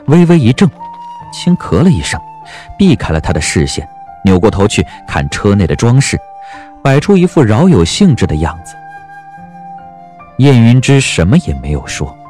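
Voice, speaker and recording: A man narrating a story as an audiobook narrator, in a scripted, expressive and measured dramatic style. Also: fairly low pitch, soft background music.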